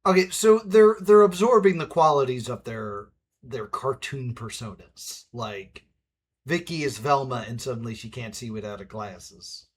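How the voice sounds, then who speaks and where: A man's voice: mid-range voice, animated, unhurried, slightly rough. A man hosting a let's play with casual, rambling reaction commentary and wry asides.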